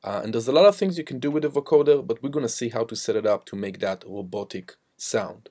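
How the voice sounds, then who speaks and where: A man teaching music production, walking through software steps in an informal, instructional way. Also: medium pitch, fast talker. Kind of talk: step-by-step software tutorial. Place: voice-over to a screen recording.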